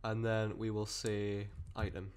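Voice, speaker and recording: Male coding tutor, lowish croaky voice from a cold, casual rambling delivery, desk mic. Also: monotone, measured pace, slight background noise. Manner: rambling, self-correcting explanations with many fillers. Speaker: programming tutor. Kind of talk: screen-recorded coding tutorial.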